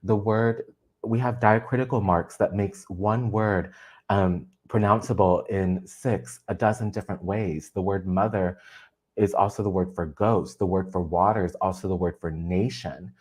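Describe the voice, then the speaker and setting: Guest poet, Vietnamese first language, low register, earnest, quick to laugh. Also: husky. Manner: earnest, animated, laughs often. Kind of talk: reflective conversation on craft.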